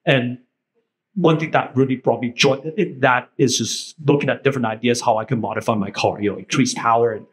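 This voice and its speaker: A man's voice: mid-range voice, fairly even intonation, fairly quick, rough recording. A man whose first language is Cantonese, sharing casually at a panel table, rambling and friendly with frequent "right?" tags, into a handheld mic in a meeting room.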